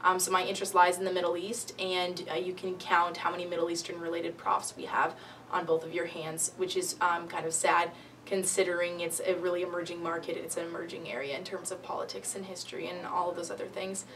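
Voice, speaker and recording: A young woman talks casually as a student interviewee. Her voice is slightly low and quite flat in range. Faint room noise sits behind her. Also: fairly quick, distant mic, low-quality recording. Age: young adult. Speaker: college student.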